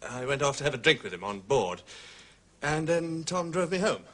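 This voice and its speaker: An actor playing an indignant, blustering man under questioning in a radio drama, with a husky voice. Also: on the high side, big pitch swings, moderate pace, sharp tone, full character voice. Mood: defensive, recounting. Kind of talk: British radio drama acting.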